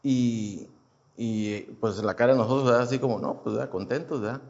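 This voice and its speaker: A man's voice: medium pitch, a little fast, darkish tone, lo-fi sound. Hesitant man telling his testimony. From Houston, United States. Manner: hesitant, many fillers and restarts. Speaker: churchgoer giving a testimony. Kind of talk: personal testimony, casual storytelling.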